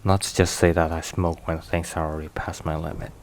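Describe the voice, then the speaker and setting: Guy doing a deep slow mafia boss boyfriend, tired but caring, asmr roleplay, rain noise behind him. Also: level tone. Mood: weary, confiding. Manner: hushed, unhurried, sighing between phrases.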